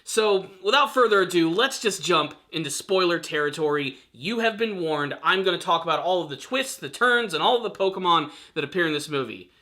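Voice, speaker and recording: A man's voice: high-pitched, animated, brisk, hardly any pauses, bright, forceful tone. A man giving an enthusiastic, emphatic video review commentary as the host, speaking straight to viewers. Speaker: film reviewer, channel host.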